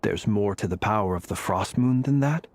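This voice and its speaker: An actor playing a courteous, analytical gentleman in a fantasy game, speaking politely and deliberately. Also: fairly low pitch, faint music behind, lightly acted. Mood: politely probing.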